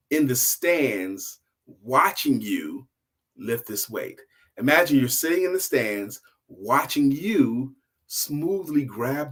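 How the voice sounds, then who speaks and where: An older man hosting a story show, telling informal motivational bedtime stories in a deliberate manner, repeating phrases and checking in with the listener. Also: mid-range voice.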